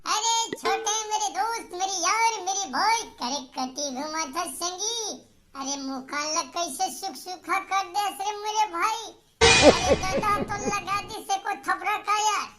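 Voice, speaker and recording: A man playing a chummy, teasing village friend in a cartoon, with a Chhattisgarhi accent and a pitched-up voice. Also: slow pace, sharp tone, full character voice. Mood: jovial. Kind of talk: cartoon comedy dialogue.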